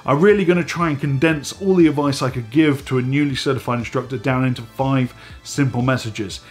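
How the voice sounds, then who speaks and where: A man in his forties hosting a casual vlog from a home studio, slightly hoarse and stuffy from a head cold, chatty and animated as he talks to the camera. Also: medium pitch, a little fast, soft background music. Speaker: host. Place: home studio room with dive gear on shelves.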